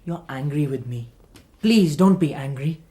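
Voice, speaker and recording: An earnest, naive young general speaks politely and formally in a radio play. The voice sits low and mellow. The speech is carefully proper, almost schoolboyish. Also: female voice, animated, a little slow. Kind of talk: radio drama acting.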